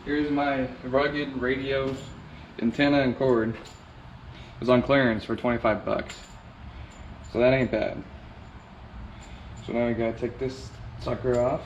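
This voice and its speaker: A man narrating a DIY vehicle install in a relaxed, casual way, talking to himself as he works. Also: medium pitch, measured pace, long pauses, slight background noise. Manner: laid-back, talks to himself while working. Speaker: DIY vlogger. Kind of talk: casual how-to narration while working. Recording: roomy, distant, dull camera audio.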